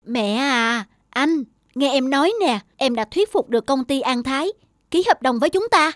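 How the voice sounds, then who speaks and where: A woman voicing a polite, devoted and determined young wife in an animated moral drama. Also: high voice, moderate pace, dry recording, clean studio sound. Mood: hopeful. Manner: polite, earnest.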